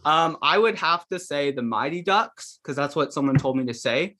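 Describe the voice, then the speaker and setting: A man's voice: a little high, lively intonation, moderate pace, sharp tone. A young man from the Seattle area, a podcast guest, joking and self-deprecating on a video call. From Seattle area, Washington. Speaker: podcast guest, seminary student. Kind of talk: casual video call chat.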